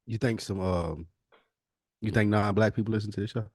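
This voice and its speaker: Male podcast co-host, lower register, relaxed slangy banter, dry studio-tight sound. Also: brisk, no echo. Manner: laid-back slangy talk. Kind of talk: casual podcast conversation.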